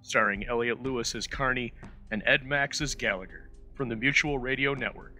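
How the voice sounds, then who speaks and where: A man's voice: mid-range voice, normal speed, bright, forceful tone, soft background music. A man hosting an old-time radio program, reading a scripted introduction.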